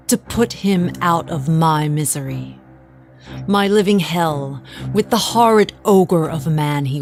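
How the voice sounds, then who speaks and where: Low-voiced, resentful wife narrating. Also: female voice, a little mellow. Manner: formal, dramatic storytelling. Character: resentful, well-to-do wife recounting her confession. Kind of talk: scripted narration in audio drama.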